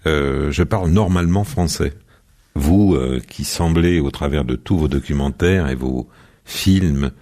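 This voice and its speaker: A man's voice: extremely low pitch, a little slow, mellow. A man hosting a radio interview, asking long, formal, elaborately phrased questions. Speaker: radio host.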